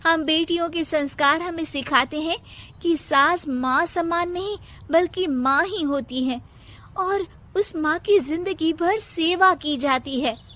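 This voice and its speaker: Woman acting a high-voiced, defiant young daughter-in-law. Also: takes it slowly, a bit bright, full character voice. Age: young adult. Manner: firm, principled.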